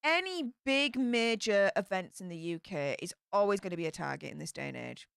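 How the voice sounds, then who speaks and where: A woman with a broad Yorkshire accent hosts a casual live stream. She reads out viewer comments and chats back at them, and her voice is bright and smooth. The sound is close and dry, with no room around it. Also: medium pitch, expressive, brisk, dry recording. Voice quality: broad Yorkshire slang and greetings. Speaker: livestream host reading chat.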